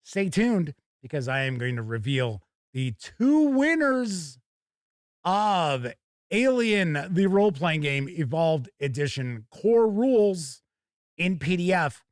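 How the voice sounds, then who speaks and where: Folksy male live-stream host, higher-pitched voice. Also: very animated, takes it slowly, dry recording, studio quality. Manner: folksy, hearty showman patter. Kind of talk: casual live stream chat.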